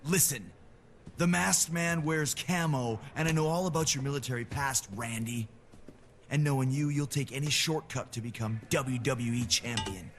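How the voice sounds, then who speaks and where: Game wrestler, male actor: suspicious, resolute interrogator, medium pitch, noisy backdrop. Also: normal speed, a bit bright, natural voice. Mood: accusing. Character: determined, suspicious male pro wrestler hunting a culprit. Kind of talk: video game story-mode voice acting. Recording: clear background noise.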